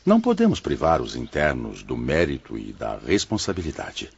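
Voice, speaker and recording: A dignified spirit elder played by a man speaks with an extremely deep, husky voice. His delivery is formal and authoritative, yet it swoops widely in pitch, as in an audiobook drama. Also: huge pitch swings, normal speed, lo-fi sound, exaggerated voice. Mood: composed authority. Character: dignified male spirit superior of a colony.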